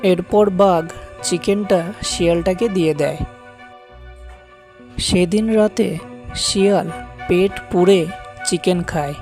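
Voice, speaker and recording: Slow, low-voiced woman storyteller. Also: a little mellow, faint music behind. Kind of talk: scripted children's fable narration.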